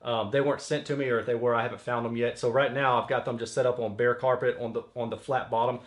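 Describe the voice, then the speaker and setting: A man's voice: medium pitch, steady tone, quick pace. A man hosting a product review, explaining technical details in a casual, conversational way. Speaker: audio reviewer and host.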